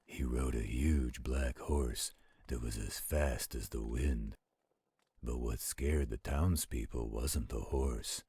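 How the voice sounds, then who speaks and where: A man playing a gentle, protective werewolf in a roleplay audio, telling bedtime stories in a slow, intimate, caring way. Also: full character voice. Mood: soothing, storytelling. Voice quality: very deep, husky, gravelly growl. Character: gentle protective male werewolf, soothing storyteller to his partner.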